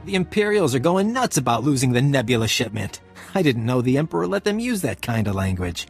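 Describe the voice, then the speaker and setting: An actor voicing a plain-spoken resistance leader with dry humour in a video game. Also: male voice, mid-range voice, very animated, a little fast, vocal fry, husky, faint music behind, heavily acted. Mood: wry, grateful. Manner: plain-spoken, drily humorous. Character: wry, grateful resistance leader on an occupied world.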